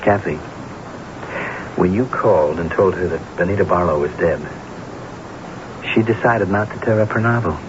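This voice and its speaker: A deep-toned, anxious husband played by a male actor in a radio mystery drama. He is earnest and guilt-laden, tripping over and repeating his words. The old broadcast recording is muffled and noisy. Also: normal speed, long pauses, lightly acted. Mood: anxious explaining.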